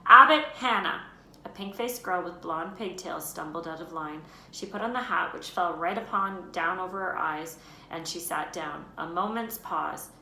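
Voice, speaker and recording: Bright-voiced woman reading a storybook aloud. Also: on the low side, moderate pace. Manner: expressive, voices the characters. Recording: roomy with faint noise.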